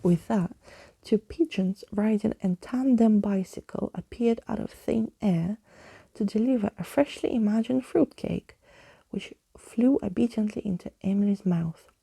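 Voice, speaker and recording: Slow, hushed woman reading a story, very dark-toned. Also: mid-range voice, creaky, breathy edge, no room sound. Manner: hushed, lingering delivery, often dropping into whisper. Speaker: ASMR reader. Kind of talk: soft ASMR storybook reading.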